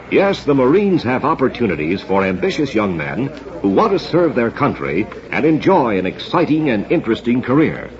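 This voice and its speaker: An American man announcing on old-time radio with a scripted, emphatic, polished delivery. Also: on the low side. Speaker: radio announcer and theater program host. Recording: dull vintage radio recording, audience noise.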